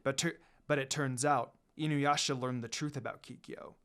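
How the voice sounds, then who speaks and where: A man's voice: mid-range voice, fairly even intonation, normal speed, a bit bright, clear, resonant tone, natural voice. A man voicing a proud, protective demon lord in a story, a formal and firm but dutiful son. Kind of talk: storybook character reading.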